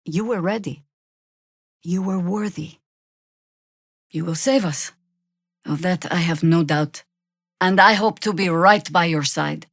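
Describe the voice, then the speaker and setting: An actress playing a seasoned, middle-aged druid woman in a video game, a wry and stern resistance fighter who speaks with measured, commanding dryness. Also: fairly low pitch, no echo, studio quality. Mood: earnest, resolute. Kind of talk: video game character dialogue, dramatic acting.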